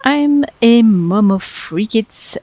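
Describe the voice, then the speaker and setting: A woman's voice: on the high side, lively intonation, slow pace, a little mellow, dry recording. A woman with a French accent, a native French speaker in her thirties, chatting through a game build as voice-over narration, talkative and self-deprecating. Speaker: Sims speedbuild YouTuber.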